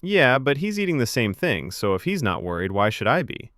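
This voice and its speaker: Young actress in a serialized story, defensive, unsure of her feelings, lively inflection male narrator acting her. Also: mid-range voice, brisk, no room sound, clean studio sound, voice pushed up. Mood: playful. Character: Emma, young actress, defensive and quietly confused.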